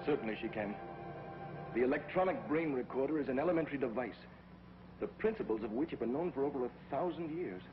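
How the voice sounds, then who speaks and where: An actor playing a calm, knowing scientist in a 1950s TV drama, explaining things in a matter-of-fact way. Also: male voice, mid-range voice, fast, close to an everyday voice. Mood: grim. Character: knowing male scientist doctor, calm and grim. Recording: dull 1950s TV soundtrack with music.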